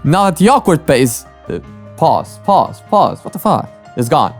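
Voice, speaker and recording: A man's voice: on the high side, very animated, a little slow, soft background music. A man hosting a reaction video with casual commentary, rambling and often repeating his phrases.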